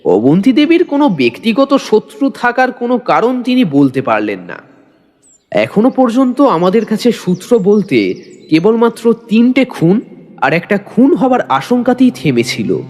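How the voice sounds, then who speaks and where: Male companion-narrator acted by a man for suspense audio story, high, expressive, long reverb and music. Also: moderate pace. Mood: suspenseful narration. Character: detective's loyal male companion narrating the mystery. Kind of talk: dramatic scripted narration.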